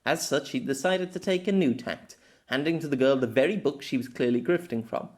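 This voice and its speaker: An amateur audiobook reader giving an expressive narration of a scripted story. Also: mid-range voice, a little fast.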